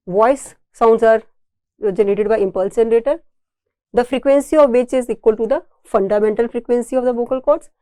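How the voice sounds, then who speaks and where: A woman lecturer with an Indian accent explains technical material step by step. Her voice sits a little high yet sounds soft and mellow, recorded close and dry. She often repeats and rephrases key points to teach them. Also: a little fast, no echo. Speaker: engineering lecturer.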